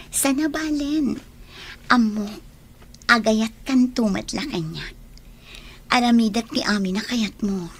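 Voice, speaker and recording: A woman's voice: on the high side, slow, long pauses, fairly bright tone, slightly rough, full character voice. An Ilocano Filipino woman playing a clingy, coaxing, lovestruck young woman in a radio drama. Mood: amorous.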